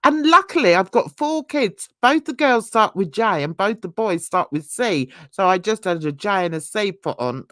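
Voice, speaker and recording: A woman's voice: medium pitch, expressive, normal speed, fairly bright tone, no room sound, clean studio sound. A woman, chatting casually with livestream viewers in a rambling, self-mocking way and laughing often. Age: 60s. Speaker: livestream host.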